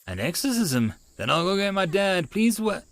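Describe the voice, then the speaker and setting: A gentle, girlish young man who helps at a shrine, acted by a male narrator. He speaks high and hesitantly, with polite, flustered swings of pitch, in anime style. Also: very animated, moderate pace, dry recording, full character voice. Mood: puzzled. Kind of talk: anime visual novel character reading.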